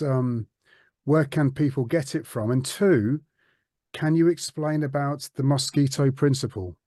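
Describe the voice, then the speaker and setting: A man's voice: medium pitch, dark tone, dry recording, studio quality. A man hosting an interview, asking polite, friendly questions and giving brief prompts. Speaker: interviewer, host.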